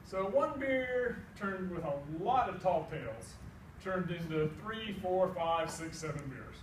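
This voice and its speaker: A middle-aged American man with a fairly high voice tells a comic story in an animated, folksy manner. He plays out each side of the conversations as he goes. The sound is echoey and a little distant, as if recorded across a room. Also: a little slow. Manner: animated, folksy delivery acting out both sides of dialogue. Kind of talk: live comic tall-tale storytelling. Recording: echoey room, distant mic, faint noise.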